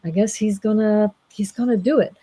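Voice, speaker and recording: A woman storyteller voicing a hurried, exasperated king in a flustered, colloquial way, in an informal comic retelling. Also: mid-range voice, warm tone, slightly rough, no room sound, voice pushed up, lightly acted.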